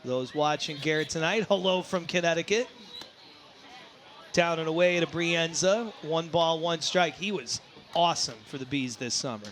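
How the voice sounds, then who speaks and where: A man calling a baseball game live as play-by-play announcer, excitable and given to shouting on big plays. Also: on the high side, moderate pace, a little hiss or hum. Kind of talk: live sports commentary. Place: outdoor baseball ballpark.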